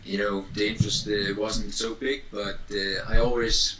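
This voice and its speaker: A man in his twenties from Denmark with a Danish accent, answering interview questions casually in hesitant, halting English as a non-native speaker. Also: on the low side, level tone, pushed and bright. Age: 20s. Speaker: interview guest. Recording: roomy, distant mic with faint noise.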